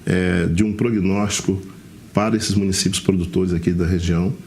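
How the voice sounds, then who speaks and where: A man's voice: low-pitched, little pitch movement, moderate pace, a little mellow. A Brazilian man hosting a television interview segment, addressing the viewers and his guest. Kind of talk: broadcast interview.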